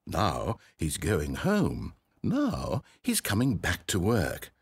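A wry theatrical game narrator. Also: male voice, exaggerated voice. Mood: storytelling. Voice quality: crisp measured delivery, very animated pitch. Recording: dry close studio voice.

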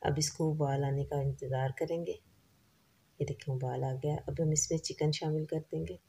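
A woman explains a recipe step by step in a calm voice-over, listing each ingredient and its amount. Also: low-pitched, measured pace, breathy edge. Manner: calm, instructive, lists ingredients and quantities. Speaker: cooking channel host.

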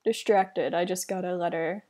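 A woman playing a hesitant magic guild member with halting speech in a fantasy game roleplay. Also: lowish voice, fairly even intonation, dark tone, close to an everyday voice. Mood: subdued.

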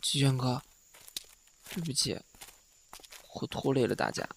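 A man's voice: medium pitch, unhurried, gravelly, a bit bright, slight background noise. A man playing a timid, apologetic young male rookie actor in an audio drama. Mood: hesitant, apologetic.

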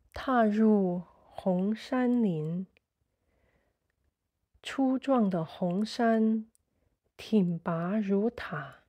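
A woman narrating a guided sleep meditation in a soothing, measured way, in short phrases with pauses. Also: medium pitch, mellow.

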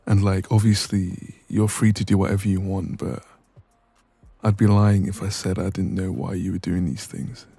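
A man playing a dominant, cocky young ex-boyfriend in an intimate audio roleplay, soft and breathy, smug and casual, close to the microphone. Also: low voice, level tone, normal speed, dry recording, faint music behind, heavily acted. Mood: accusing, restrained.

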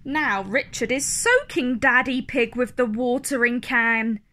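A woman storyteller reading a children's storybook aloud, expressive and carefully enunciated for young listeners. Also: on the high side, measured pace.

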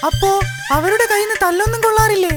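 A woman's voice: very high-pitched, steady tone, rapid-fire. A sulky baby elephant boy. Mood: curious. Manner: grumbling, sulky. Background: loud children's music.

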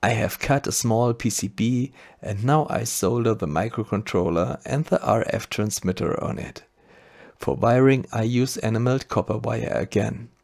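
A man's voice: on the low side, creaky, darkish tone. A man with a German accent narrating a scripted electronics tutorial step by step. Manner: methodical step-by-step explaining. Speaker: maker channel host.

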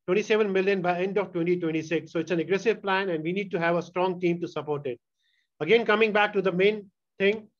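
A man giving a keynote presentation over a video call, walking through his slides in a steady, explanatory way. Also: high-pitched, dull audio. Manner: steady, explanatory slide walkthrough with occasional restarts. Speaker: keynote speaker, sales executive. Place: indoor room, on video call. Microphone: video call webcam mic.

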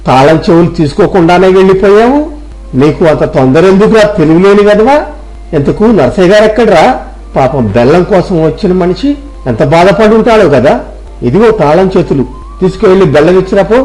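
A man's voice: high voice, animated, a little fast, darkish tone, faint music behind, rough recording. A man voicing a stern village shop owner in a moral story, with an authoritative, weighty manner. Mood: scolding.

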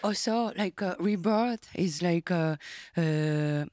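A woman from Japan with a Japanese accent, a band member speaking in an interview, halting and searching for words with many fillers. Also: on the low side, a little mellow, dry recording, clean studio sound. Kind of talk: casual interview chat.

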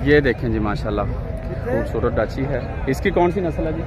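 A man interviewing a trader in a casual outdoor chat, asking brisk questions and agreeing often. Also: mid-range voice, animated. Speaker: interviewer, channel host. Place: outdoor livestock market. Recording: outdoor market with crowd noise. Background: crowd at a busy market.

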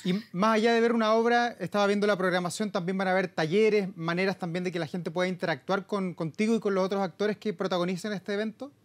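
A man's voice: high voice, fairly even intonation, fast talker, hardly any pauses. A man with a Chilean Spanish accent, putting questions to a guest as a television news presenter in a studio. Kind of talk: live television interview questions.

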